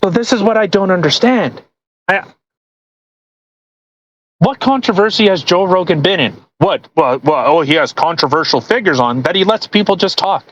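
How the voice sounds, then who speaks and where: A blunt podcast host with a mid-pitched voice that swings up and down with great animation. The delivery is casual, argumentative and peppered with swearing, like commentary on something being read aloud. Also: expressive, normal speed, dull audio, slight background noise. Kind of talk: casual commentary while reading a post aloud.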